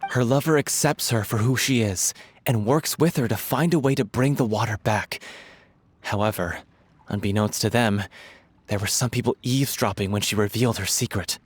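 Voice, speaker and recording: An actor playing an earnest, excitable, bookish playwright in a video game, explaining things like a storyteller. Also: male voice, lowish voice, moderate pace, breathy edge, no room sound. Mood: earnest storytelling. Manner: earnest, expository. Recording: music under the voice.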